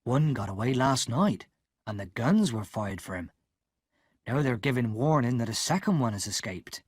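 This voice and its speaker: A man voicing a huge, simple, gentle blacksmith who talks slowly and softly. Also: medium pitch, expressive, slightly rough, dry recording, clean studio sound. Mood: calm.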